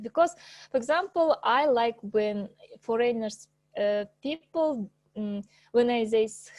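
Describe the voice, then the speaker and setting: Slow, hesitant woman, Russian native. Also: a little high, a little mellow, no echo. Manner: hesitant, many fillers and restarts, laughs often. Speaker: presenter at an English club. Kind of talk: informal spoken presentation.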